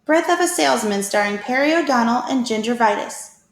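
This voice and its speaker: Woman, high voice, picture-book narration, expressive, deliberate delivery, roomy echo. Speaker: teacher reading aloud. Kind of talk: children's picture-book read-aloud. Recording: echoey room.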